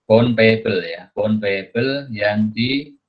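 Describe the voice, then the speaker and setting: A man lecturing through a worksheet in a halting way, thinking aloud with questions and frequent check-in tags. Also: lowish voice. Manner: repeatedly adds "ya" as a check-in tag. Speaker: accounting lecturer. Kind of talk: explanatory screen lecture, working through a worksheet. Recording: dull, muffled lo-fi recording.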